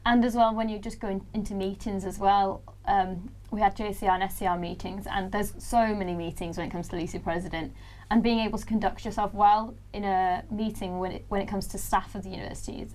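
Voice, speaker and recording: A woman's voice: mid-range voice, little pitch movement, a little fast, darkish tone, slight background noise. A young Welsh woman in her early twenties, a student leader being interviewed, talking in a chatty way with a big voice and frequent laughs. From Wales. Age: young adult. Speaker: student union president-elect. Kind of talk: casual studio interview.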